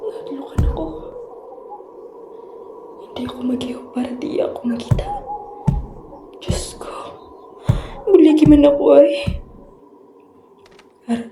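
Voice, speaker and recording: High-voiced, frightened young daughter, acted by a woman. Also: warm tone, slight background noise. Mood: panicked. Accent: Western Visayan Filipino. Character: terrified, weary daughter alone in a haunted house. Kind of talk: amateur radio horror drama monologue. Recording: echoey room with music bed.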